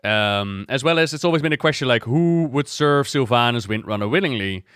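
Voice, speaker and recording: A man giving casual reaction commentary as a game lore streamer, musing out loud in a conversational way. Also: medium pitch, animated, a little fast, clear, resonant tone, dry recording, clean studio sound.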